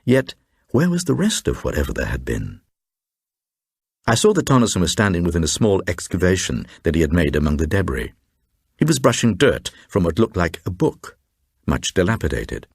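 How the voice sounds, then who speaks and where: A very deep male voice, playing a grave traveller recounting an eerie radio tale. The delivery is deliberate and suspenseful, swinging widely in pitch, with a distinctly husky grain. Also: sing-song, dry recording, studio quality, full character voice. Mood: uneasy, awed. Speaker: narrator. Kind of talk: dramatised first-person reading of a supernatural horror tale for radio.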